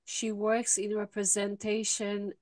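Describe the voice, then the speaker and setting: A woman co-hosting an online summit, introducing the guest in a deliberate, upbeat way over a video call. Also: medium pitch, monotone, darkish tone, dry recording. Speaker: co-host, leadership coach.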